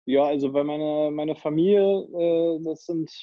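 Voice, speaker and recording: A man's voice: on the high side, a little slow, no echo, lo-fi sound. A young man from northern Germany, a handball player speaking casually on a video call through earbuds, hesitating with fillers and restarts as he thinks aloud. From northern Germany, Kiel area. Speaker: handball player, interview guest.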